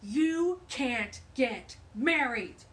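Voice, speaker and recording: A woman voicing a bossy, self-important male stink bug in a children's storybook, shouting emphatically and domineeringly, with some noise behind. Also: a little high, animated, drawn-out words, full character voice. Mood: menacing.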